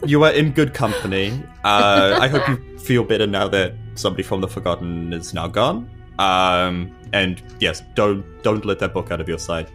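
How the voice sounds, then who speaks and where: A man voicing an earnest, protective adventurer in a tabletop fantasy game, halting and droll. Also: low voice, fairly bright tone, faint music behind, voice pushed down.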